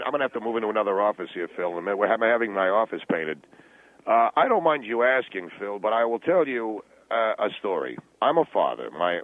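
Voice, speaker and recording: A man playing a self-justifying middle-aged businessman father, halting and repeating himself defensively on a talk radio comedy call. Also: on the low side, voice pushed down. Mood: defensive, explaining. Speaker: phone-in guest. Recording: narrow, tinny phone line.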